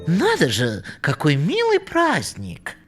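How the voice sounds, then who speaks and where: A woman voicing a bossy, sentimental housekeeping owl in a cartoon, expressive and scolding in a singsong way. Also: sits low, slow, exaggerated voice. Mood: touched. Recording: loud music behind the voice.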